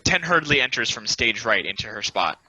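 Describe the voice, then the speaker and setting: A man narrates stage directions from a script. His voice sits at a middle pitch and is very bright and sharp, recorded close and dry. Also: sing-song, fairly quick, dry recording, rough recording.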